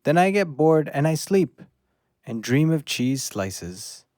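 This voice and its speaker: An actor playing an eager, devoted pet dog in an audio play, speaking in short, simple phrases. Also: male voice, a little high, very animated, slow, darkish tone, no room sound, studio quality. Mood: wistful. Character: eager, devoted pet dog watching over his human. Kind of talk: audio drama acting.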